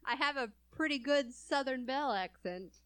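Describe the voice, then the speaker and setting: A woman's voice: high voice, smooth. A young adult woman from California chatting casually as a co-host, laughing often between phrases. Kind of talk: casual chat.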